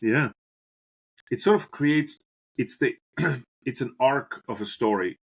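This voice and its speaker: A man's voice: medium pitch, slow, creaky, slightly rough. A middle-aged man from Switzerland, a guest on a podcast, telling his story earnestly and often restarting phrases as he searches for words. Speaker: guest, business professor. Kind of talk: podcast interview, reflective storytelling.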